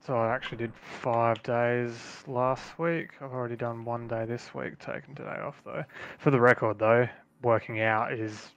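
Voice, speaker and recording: A man on voice chat, mid-pitched with a gravelly edge. He explains things earnestly and plainly, point by point. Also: fairly even intonation, normal speed, a bit bright, lo-fi sound. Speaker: friend on voice chat. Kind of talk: casual chat.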